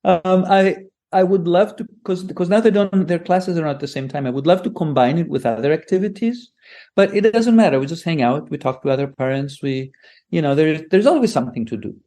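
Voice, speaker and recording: A man's voice: on the high side, lively intonation, fairly quick, mellow. A man from Greece, a native Greek speaker, talking casually as a podcast guest, rambling with many restarts. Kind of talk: casual conversation.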